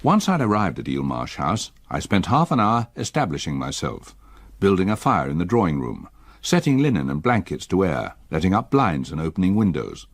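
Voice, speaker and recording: An actor playing a reflective English gentleman, narrating his memories in measured, literary style for a gothic radio drama. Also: sits low, sing-song, dry recording, studio quality, full character voice.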